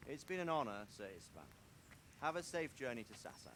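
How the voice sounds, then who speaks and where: An actor playing a gracious, commanding noble lord who speaks formally and deliberately in a game cutscene. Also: male voice, mid-range voice, very animated, fairly bright tone, slight background noise. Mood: pleased, formal. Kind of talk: dramatic game cutscene acting.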